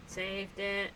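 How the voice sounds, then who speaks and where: A young woman game streamer chats to herself in a slow, unhurried way, her pitch bouncing up and down as she giggles at her own mistakes. She speaks into a desk mic at home, with clear background noise behind her. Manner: giggly, talks to herself while playing. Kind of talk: casual gameplay commentary.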